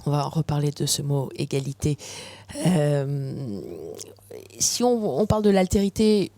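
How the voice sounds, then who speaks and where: A radio interviewer asking reflective questions in a measured, deliberate way. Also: medium pitch, dark tone, no room sound.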